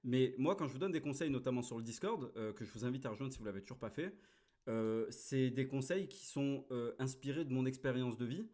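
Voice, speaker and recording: Brief: man, 30s, host, blunt and crude, mid-pitched, casual reaction talk. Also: brisk, warm tone.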